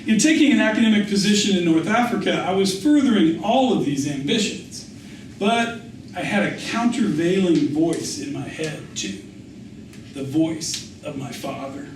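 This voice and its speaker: A man reading a literary memoir aloud as its author, with a measured storytelling delivery. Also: sits high, expressive. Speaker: author reading his essay. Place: indoor public reading. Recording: echoey room, distant mic, faint noise.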